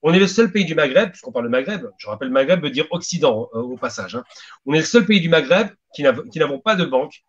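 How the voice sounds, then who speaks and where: A man's voice: fairly high pitch, lively intonation, fast, husky. A man speaking as a guest on a radio debate, making emphatic, reasoned arguments. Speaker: panel guest. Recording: dull lo-fi radio sound.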